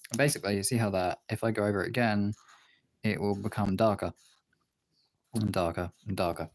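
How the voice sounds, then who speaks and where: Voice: sits low, fairly even intonation, brisk, darkish tone, a little hiss or hum. Someone giving a casual hands-on art tutorial, rambling and thinking aloud while working.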